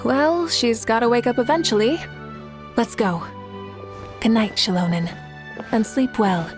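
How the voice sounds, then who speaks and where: A woman's voice: a little high, unhurried, over music, close to an everyday voice. A woman playing a confident, composed young female leader with a playful side in a video game. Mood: wry.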